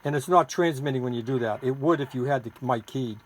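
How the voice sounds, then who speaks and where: A man's voice: medium pitch, fast talker. A man giving an informal, rambling, hands-on product demonstration as the seller of the gadget. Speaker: seller demonstrating his homemade radio gadget. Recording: noisy recording with clicks.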